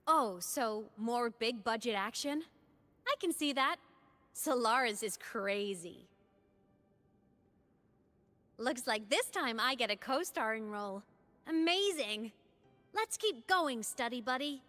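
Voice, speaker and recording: A woman's voice: on the high side, lively intonation, unhurried, sharp tone. A woman voicing a playful, casual young female ghost in a video game. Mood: playful, excited. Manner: casual, teasing, upbeat.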